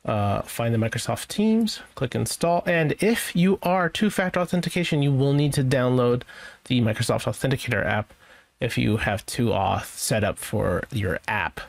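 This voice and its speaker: A man presenting a software tutorial in a casual, informal way, thinking aloud as he goes. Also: medium pitch, animated, moderate pace, vocal fry, no echo. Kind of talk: casual screen-share software walkthrough.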